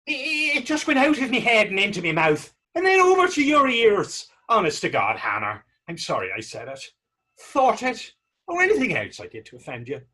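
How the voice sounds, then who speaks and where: A guileless, earnest young fellow played by a male actor on the readers theater stage. His husky, slightly high voice leaps up and down as he rambles in old-fashioned dialect. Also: huge pitch swings, moderate pace, pushed and bright, heavily acted. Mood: flustered, apologetic. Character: naive, earnest, smitten young Irish fellow.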